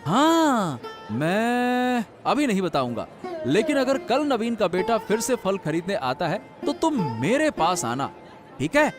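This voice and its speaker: A very high, swooping voice from a male actor gives a cartoon fish seller a suspicious, scheming air. He exclaims and insinuates at a slow pace. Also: sing-song, music in the background, heavily acted. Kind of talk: cartoon story dialogue.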